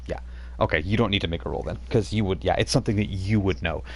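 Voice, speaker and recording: A man's voice: deep voice, quick pace, darkish tone. A man running a tabletop game as game master, setting scenes in a loose, rambling way with casual asides.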